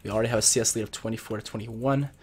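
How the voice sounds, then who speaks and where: A young man with a cold, sounding a bit husky and deeper, casually explaining strategy as he plays and comments on a video game at his computer. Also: fairly even intonation, racing, a little mellow. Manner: chatty, explains strategy while playing. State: sick with a cold. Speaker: gameplay commentator. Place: home room at his computer.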